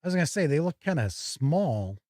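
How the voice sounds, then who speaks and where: A man hosting a tabletop game show, giving casual, halting live unboxing commentary and thinking aloud. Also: on the high side, very animated, mellow, no room sound, clean studio sound.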